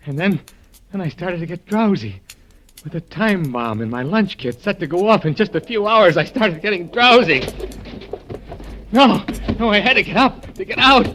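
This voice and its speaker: A man's voice: sits high, lively intonation, moderate pace, bright, forceful tone, a little hiss or hum. An actor narrating as a drugged, panicky male factory worker in a 1940s radio drama. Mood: drowsy dread. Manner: breathless, panicky first-person narration. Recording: old radio broadcast with music.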